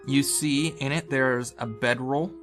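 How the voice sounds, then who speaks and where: A man's voice: medium pitch, steady tone, measured pace, over music. A man acting as game master, describing scenes in an improvised, conversational way with hedging asides. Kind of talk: improvised tabletop game narration.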